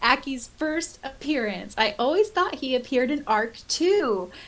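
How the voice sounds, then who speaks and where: A woman co-hosting a casual fan podcast, reading listener comments aloud in an expressive, informal way. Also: sits high, unhurried. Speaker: podcast co-host.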